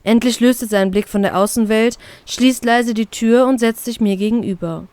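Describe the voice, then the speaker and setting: A woman reading a first-person fan fiction story as an expressive narrator. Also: on the high side, moderate pace, dry recording.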